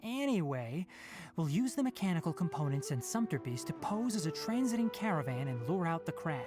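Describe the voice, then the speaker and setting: A woman voicing a clever, composed young male forest ranger in a video game, explaining things in a measured, matter-of-fact way. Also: low voice, very animated. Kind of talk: English game voice acting. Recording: game music under voice.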